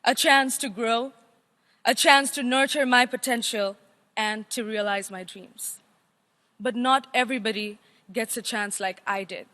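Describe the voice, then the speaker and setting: A young woman gives a formal, earnest prepared speech with emphatic, measured phrasing. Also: a little high, steady tone, sharp tone. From Nepal. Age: young adult. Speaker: youth chair giving an opening address. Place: large assembly hall, speaking to delegates. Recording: echoing large hall, long reverb.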